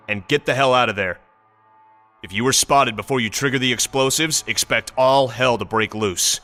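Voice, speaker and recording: A man with an American accent playing a brisk, stern army officer giving a clipped, commanding mission briefing in a video game. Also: mid-range voice, pushed and bright, lightly acted.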